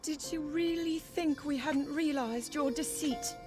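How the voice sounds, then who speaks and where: Casting: young fantasy-game princess, sincere and formal, high voice, unhurried delivery, music behind. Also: female voice. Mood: stern. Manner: slow, careful, formal. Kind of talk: video game cutscene voice acting. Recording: studio voice under prominent music. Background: orchestral game music.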